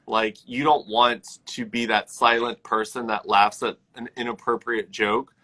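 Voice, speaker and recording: Man, bright forceful voice, casual talk, reflective, firm. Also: mid-range voice, steady tone, a little slow, rough recording. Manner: reflective, lots of "like" fillers, firm. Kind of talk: casual conversation.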